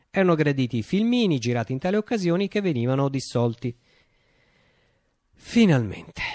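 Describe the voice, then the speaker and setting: An actor playing a visionary revolutionary who recounts his utopia in a declamatory radio theatre monologue, with deliberate pauses and emphasis. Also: medium pitch, no room sound, clean studio sound, natural voice. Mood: solemn, proud.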